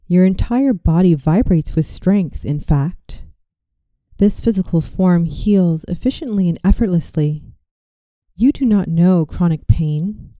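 A woman leads a guided visualization in a very warm, relaxed, mellow voice that sits a little low. Her delivery is gentle and measured, like a soothing meditation guide reading a script. The sound is dry, with little room around it. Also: dry recording.

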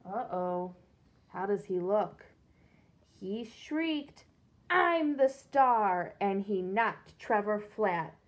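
Slow-paced woman reading aloud to children. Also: medium pitch. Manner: expressive, simple explanations for young listeners. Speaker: teacher reading to young pupils. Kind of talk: picture-book read-aloud with questions to children. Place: indoors, small room against a brick-patterned wall.

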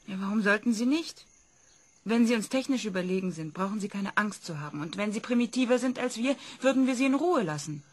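A woman's voice: mid-range voice, lively intonation, a little fast, natural voice. An actress in a radio play voicing a skeptical woman who asks probing questions. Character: female inquisitive skeptic, polite and probing. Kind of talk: German radio play acting.

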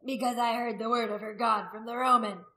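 A woman voicing a hostile male pagan warrior in a story, declaiming forcefully as in a heated argument. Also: medium pitch, fairly even intonation, fairly quick, natural voice. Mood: defiant.

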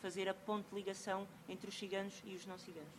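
A woman from Portugal with a Portuguese accent, speaking at a conference, halting at times as she looks for words. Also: mid-range voice, little pitch movement, husky. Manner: halting, pausing to find words.

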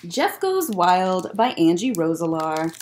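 A woman's voice: fairly low pitch, sing-song, takes it slowly, a little mellow. A young woman hosting a children's story time, reading a picture book aloud expressively with casual asides. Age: young adult. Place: library room lined with bookshelves, indoors.

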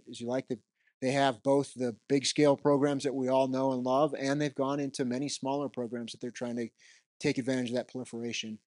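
A middle-aged man giving an unscripted keynote in a conference hall, speaking conversationally into a handheld microphone and often tagging his points with "right?". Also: medium pitch. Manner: conversational, roams the stage, frequent "right?" tags.

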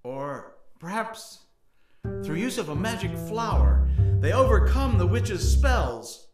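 A man in his sixties narrating a fairy tale theatrically and deliberately, with long pauses. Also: very animated. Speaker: storyteller and musician. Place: indoor room with paintings. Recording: echoey room, loud bass music. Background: plucked and bowed double bass.

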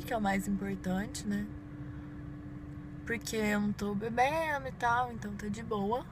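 A Brazilian woman in her twenties chatting informally to viewers inside a parked car, busy with her makeup as she talks. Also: fairly high pitch. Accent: Brazilian Portuguese. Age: 20s. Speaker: beauty vlogger. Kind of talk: casual chat to viewers while doing makeup. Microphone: phone at arm's length. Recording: clear vehicle and ambient noise.

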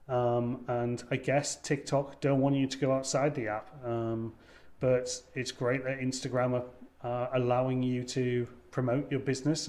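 A young man talking casually as a guest on a video call, wearing a headset, often pausing with ums and restarting his sentences. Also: mid-range voice, a little mellow. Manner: hesitant, many ums and restarts. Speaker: wine content creator guest. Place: indoors, room with wine shelves. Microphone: over-ear headset on video call.

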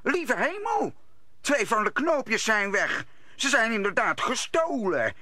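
An actor playing an agitated, decisive duke in a radio play. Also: male voice, extremely high pitch, big pitch swings, slow pace, bright, forceful tone, no room sound, exaggerated voice. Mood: alarmed.

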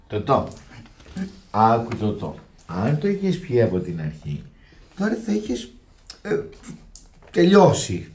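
A man's voice: mid-range voice, wildly swooping pitch, long pauses, darkish tone, slight background noise. An actor playing an exasperated, blustering father in a radio farce. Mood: coaxing. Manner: blustering, flustered, pleading. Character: exasperated, excitable father and flustered host. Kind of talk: radio play farce acting.

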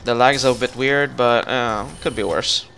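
Bright-voiced man chatting while gaming. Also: mid-range voice, a little slow, slight background noise. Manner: jokey, thinking aloud. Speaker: gamer leading a co-op session. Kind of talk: casual gameplay chat.

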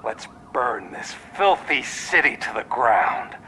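A man playing a menacing, messianic gang leader in a video game, giving measured oratory that dips into hissed whispers. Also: mid-range voice, sing-song, bright, forceful tone, husky, full character voice, rough recording. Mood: rousing menace. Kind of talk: video game villain's broadcast speech.

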